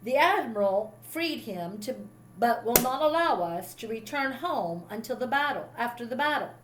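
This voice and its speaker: Woman as courteous gentleman, fairly high voice. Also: expressive, normal speed, background noise, natural voice. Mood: reassuring. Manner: polite, reassuring. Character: calm, courteous American gentleman prisoner.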